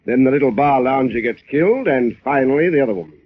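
An actor playing a businesslike, suspicious police commissioner in an old radio drama. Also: male voice, medium pitch, lively intonation, quick pace, lightly acted. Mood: probing. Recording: muffled, phone-like old radio recording.

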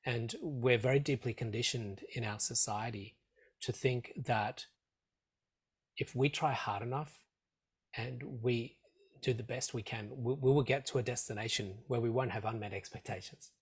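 A man with a slightly croaky throat, a meditation teacher giving earnest, measured answers in an interview and repeating phrases for emphasis. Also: mid-range voice. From Australia.

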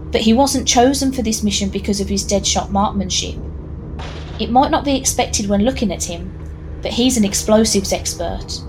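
A woman narrating a scripted audio drama in a measured storytelling manner. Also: mid-range voice. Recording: clear background noise, ambience.

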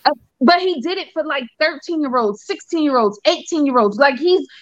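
A woman's voice: high-pitched, expressive, measured pace, dry recording. A woman speaking bluntly and argumentatively, swearing freely in a casual podcast debate. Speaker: podcast panelist. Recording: dull, muffled audio.

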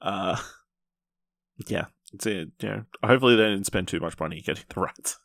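A man's voice: low-pitched, moderate pace, gravelly, a bit bright, dry recording, studio quality. A man hosting a podcast, chatting casually about film and reading out news items.

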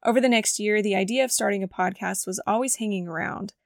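A woman's voice: mid-range voice, quick pace, vocal fry, no room sound, studio quality. A woman with a scratchy voice hosting a faith podcast, reading her own story in an earnest, reflective manner from a script. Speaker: podcast host. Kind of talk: scripted devotional podcast narration.

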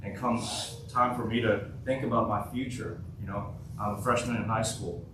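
A man's voice: on the low side, normal speed, background noise. A man in his twenties from South Korea, a native Korean speaker, giving a casual youth workshop talk and often asking the audience questions. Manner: conversational, asks the audience many questions. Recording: echoey hall, voice from far off.

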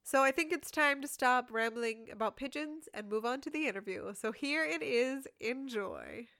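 A woman's voice: high voice, normal speed, bright, forceful tone. A woman hosting a podcast, telling a story in a casual, rambling way with frequent giggles and lots of "like". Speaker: podcast host. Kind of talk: casual chatty monologue.

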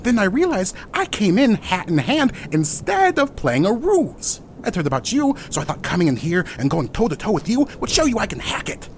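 Casting: fumbling, over-eager middle-aged trainee sleuth for noir comedy audio, very animated intonation, noisy rumbling recording. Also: male voice, normal speed, few pauses, heavily acted. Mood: panicked, sheepish. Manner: flustered, theatrical. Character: eager, fumbling overweight man over fifty, would-be detective. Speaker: actor in an audio play. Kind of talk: comic noir radio play acting.

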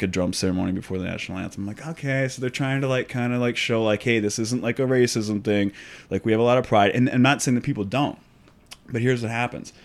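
An American man in a casual, opinionated discussion, speaking emphatically and often checking for agreement. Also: lowish voice. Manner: emphatic, conversational, often asks for agreement with "right?".

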